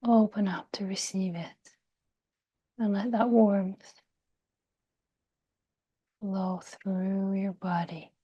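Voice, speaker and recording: A woman guiding a meditation in a soft, breathy, near-whispered voice, gentle and soothing, with long pauses. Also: mid-range voice, fairly even intonation, muffled recording. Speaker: meditation guide. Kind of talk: guided meditation.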